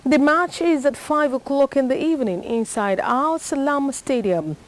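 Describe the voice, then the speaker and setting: A woman's voice: high-pitched, normal speed. A woman with a Nigerian accent reading scripted television news in a formal style as an anchor.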